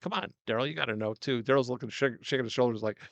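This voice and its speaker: An older man hosting a casual interview in a small studio, talking loudly and coaxingly into a directional mic. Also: medium pitch, fast, vocal fry, no echo, lo-fi sound. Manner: loud, coaxing, chatty. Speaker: host.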